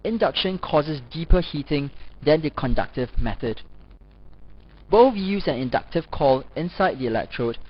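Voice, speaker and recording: A man reading scripted educational narration as a lecturer, in a formal, measured manner. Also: on the high side, animated. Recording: dull, lo-fi voice-over recording.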